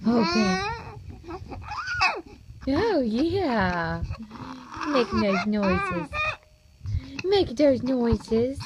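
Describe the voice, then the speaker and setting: A woman talking baby talk to her infant, in a slow, repetitive sing-song. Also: sits high. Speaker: parent. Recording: slightly dull home recording, faint noise.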